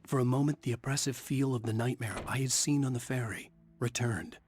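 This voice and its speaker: An actor playing a troubled, weary American writer in a game, with brooding, terse dramatic narration and dialogue. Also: male voice, mid-range voice, fairly even intonation, brisk, darkish tone, a little hiss or hum, lightly acted. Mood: reflective. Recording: music behind the voice.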